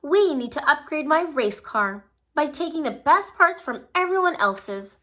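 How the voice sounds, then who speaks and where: A woman's voice: high-pitched, animated, a little slow, pushed and bright, pitched above the performer's own voice, full character voice. A woman voicing a sneaky, smug young female race car driver in a read-aloud storybook. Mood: taunting. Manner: taunting and smug.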